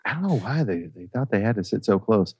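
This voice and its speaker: A man's voice: lowish voice, lively intonation, fast, a little mellow, slightly rough. A man telling a comedic personal story in a casual, sweary, conversational way, often checking in with his listeners.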